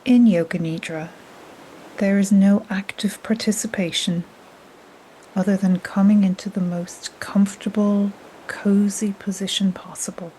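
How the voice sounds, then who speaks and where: Slow, soft-spoken woman guiding relaxation. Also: medium pitch, low-quality recording. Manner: soft, breathy, half-whispered, soothing. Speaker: yoga nidra guide. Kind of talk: guided relaxation meditation. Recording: steady soft noise like ocean waves. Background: gentle ocean waves.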